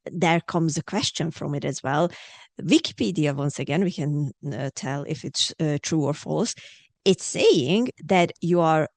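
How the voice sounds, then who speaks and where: A woman's voice: low voice, dry recording. A woman from Hungary interviewing a guest casually as an enthusiastic podcast host.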